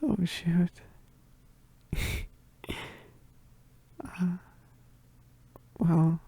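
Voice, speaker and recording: A woman playing a shy, flustered young werewolf roommate in a romantic ASMR roleplay, halting and stammering in a soft, breathy voice, often whispering, close to the mic. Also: on the low side, steady tone, no room sound, full character voice. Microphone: close microphone.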